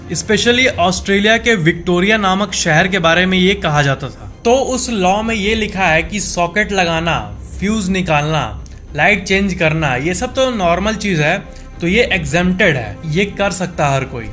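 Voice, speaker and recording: Man, Indian accent, high-pitched voice, conversational fact presenter, explanatory narration, English words mixed in, background music. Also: fairly bright tone. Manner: conversational, asks rhetorical questions then answers them; mixes English words and phrases into speech. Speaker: presenter of a facts video. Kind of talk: explanatory narration in countdown format. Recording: music bed under the voice.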